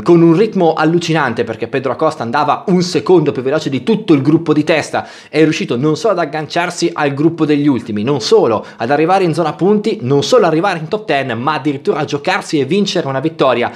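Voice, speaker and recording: A man in his thirties, voice sitting a bit high, runs through race results for his channel. He talks in a casual, chatty flow, dropping filler sounds between thoughts. It is recorded close on a headset mic in a small room. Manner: chatty, many filler "eh" pauses. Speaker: motorsport YouTuber. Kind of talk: race recap commentary.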